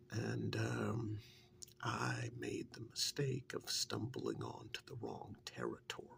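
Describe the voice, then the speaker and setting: A man acts out a witch's human assistant, a world-weary forest dweller giving an interview in an ASMR role-play. His voice is lowish and full of heavy fry, slipping often toward a whisper. He talks haltingly and reflectively, murmuring as he goes. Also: full character voice. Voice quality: breathy soft voice, half whispered, gravelly. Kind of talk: soft-spoken ASMR role-play, improvised monologue.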